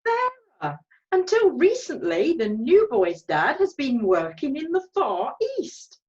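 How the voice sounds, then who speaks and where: Brief: a woman reading a chatty gossiping mum, sing-song high voice, lo-fi. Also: wildly swooping pitch, slow, a little mellow, exaggerated voice. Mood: gossipy. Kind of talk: amateur online play reading.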